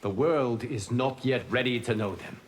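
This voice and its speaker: A man playing a calm, wise godlike mentor lord who speaks in a measured, formal way in a fighting game. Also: medium pitch, a little hiss or hum, natural voice. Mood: solemn. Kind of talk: video game character banter.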